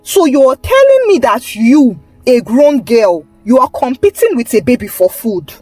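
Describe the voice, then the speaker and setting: A woman's voice: a little high, big pitch swings, moderate pace, darkish tone, dry recording, soft background music. A woman voicing a stern, exasperated auntie in a folktale, scolding her greedy niece. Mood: angry. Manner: scolding, suspicious, interjections like "oh" and "sha".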